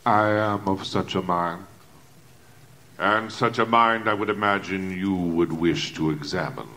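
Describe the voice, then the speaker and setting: A man playing a menacing, articulate killer alter ego with formal, deliberate, contemptuous speech in a Gothic audio drama. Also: low voice, pushed and bright. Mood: coolly arrogant.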